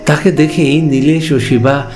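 A man's voice: on the high side, fairly even intonation, a little slow, music in the background. A man narrating a scripted ghost story as the storyteller.

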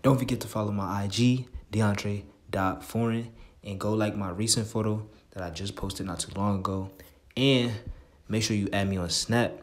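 A man's voice: lowish voice, steady tone, normal speed, distant mic. A young man hosting his own channel, opening with an upbeat intro and then asking strangers questions in a shopping mall. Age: young adult. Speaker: host, street interviewer. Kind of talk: casual vlog and street interviews. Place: bedroom for intro, indoor shopping mall for interviews. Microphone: handheld mic in mall.

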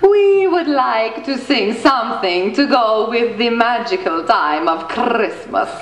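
Grand prima donna , female actor, haughty radio-sketch comedy, measured and stately, echoing stage sound. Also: a little high, animated. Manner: stately, clipped, royal "we". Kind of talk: comic radio sketch acting. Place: theater stage before a live audience. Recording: echoey stage recording.

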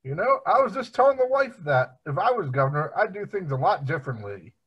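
A man's voice: sits high, very animated, a little fast, fairly bright tone, breathy edge, full character voice. A man voicing a dim, amiable family man, bumbling and rambling, in an amateur cartoon table read. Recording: muffled, lo-fi video call.

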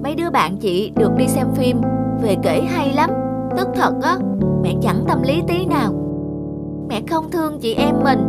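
An eager, childish cartoon girl who is easily upset. The voice is high, whiny and expressive, set over very prominent music. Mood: sulky. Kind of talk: animated cartoon dubbing. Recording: loud music behind the voice.

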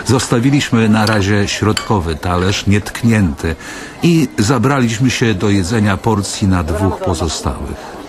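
Deep-voiced, world-weary radio drama narrator. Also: male voice. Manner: measured, literary, reflective. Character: world-weary, brooding man recalling a wartime-era evening.

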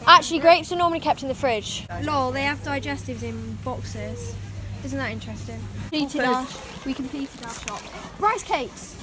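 A woman's voice: sits high, moderate pace. Giggly young woman vlogging on a phone. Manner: giggly, chatty, tours the room with dry remarks. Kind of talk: casual handheld travel vlog. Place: small hotel room indoors. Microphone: phone camera held at arm's length. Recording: noisy phone recording.

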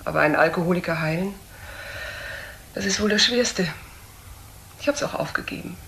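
A woman's voice: fairly low pitch, moderate pace, vocal fry, fairly bright tone. An actress playing a proud, weary middle-aged woman doctor in a crime drama, dry and resigned. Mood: bitter, resigned. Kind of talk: German crime drama acting. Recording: roomy, noisy drama soundtrack.

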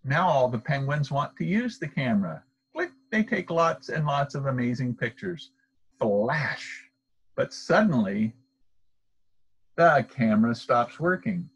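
A middle-aged man reading a children's picture book aloud in a deliberate, expressive storytelling manner, recorded outdoors. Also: mid-range voice, slightly rough, dull audio. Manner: deliberate, expressive delivery for young listeners. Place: outdoors, in an open wooded park.

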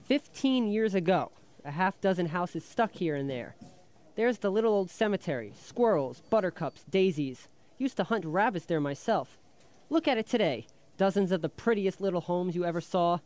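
A man's voice: sits high, lively intonation, a little mellow. An actor playing a wry, frank rent collector who slyly needles his boss in a radio drama. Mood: sardonic, needling. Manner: sardonic, fast-patter monologue.

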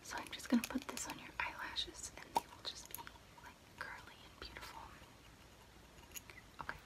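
A woman's voice: moderate pace, natural voice. A young woman as a whispering water fairy. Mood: gentle, focused. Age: young adult. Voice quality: breathy whisper. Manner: casual, hesitant, chatty. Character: friendly young female water fairy giving a makeover. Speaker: ASMR roleplayer. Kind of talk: ASMR roleplay, personal attention. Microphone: close mic.

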